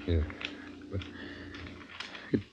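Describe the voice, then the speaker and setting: An actor playing an obsessed, defiant scientist in a 1950s radio drama, intense and halting. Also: male voice, dull audio. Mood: feverish wonder. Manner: intense, halting, broken phrases. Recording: noisy 1950s radio broadcast recording.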